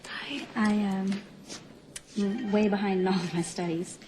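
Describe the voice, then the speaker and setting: A young actress playing a distraught, defensive medical student in a TV soap, speaking in a strained, clipped way. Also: female voice, medium pitch, measured pace, background noise, natural voice. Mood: subdued. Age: young adult. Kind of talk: TV soap opera acting. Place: indoor hospital set.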